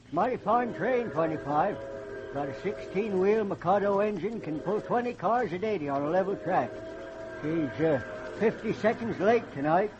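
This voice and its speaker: Casting: elderly American hobo, higher-pitched, chatty, folksy, old-time radio play with slight noise. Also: male voice, animated, moderate pace, dull audio. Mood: chatty, easygoing. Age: older. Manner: rambling, folksy, colloquial drawl of speech. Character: old folksy hobo, genial, railroad-loving. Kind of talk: 1940s radio acting. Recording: noisy vintage radio recording.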